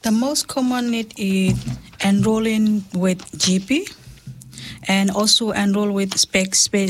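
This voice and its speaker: Plain-spoken woman from Myanmar. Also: medium pitch. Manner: plain, stumbling now and then. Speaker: health navigator guest. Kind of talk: radio interview answers.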